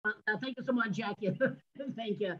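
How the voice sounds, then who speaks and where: Soft halting woman on a muffled call. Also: medium pitch. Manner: halting, many filler sounds, soft-spoken. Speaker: meeting host introducing board members. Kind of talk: online meeting introductions. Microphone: video call.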